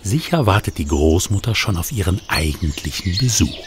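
A man narrating a children's radio play in an expressive storybook manner. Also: sits low, moderate pace, very noisy.

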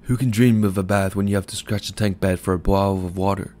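A man's voice: deep voice, fairly even intonation, brisk, darkish tone, lightly acted. A man voicing a poor, weary village man of about fifty who speaks meekly and pleads. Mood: complaining. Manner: meek, pleading.

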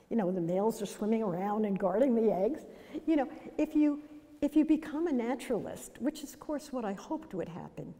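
Elderly woman with a very mellow voice. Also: medium pitch. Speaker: artist interviewed. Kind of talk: informal storytelling in interview. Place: indoor gallery, seated. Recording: reverberant indoor room.

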